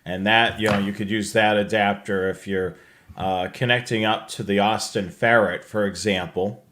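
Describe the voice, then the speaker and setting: A man's voice: lowish voice, fairly even intonation, moderate pace, fairly bright tone. A man hosting a live show, presenting products in a casual, conversational walkthrough. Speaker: show host presenting slides.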